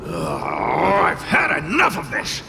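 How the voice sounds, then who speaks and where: A man voicing an arrogant, cranky little fire demon in a video game, gruff and blustering, always scolding. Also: on the high side, huge pitch swings, takes it slowly, noisy background, exaggerated voice. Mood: scornful, threatening. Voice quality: gravelly. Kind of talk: video game cutscene acting.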